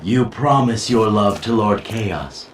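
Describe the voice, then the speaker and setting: An actor playing a smooth, eloquent schemer with an insinuating, theatrical manner in a video game cutscene. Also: male voice, on the low side, lively intonation, slow, slight background noise. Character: smooth, theatrical, scheming male manipulator counseling heroes. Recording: roomy echo, distant, music behind.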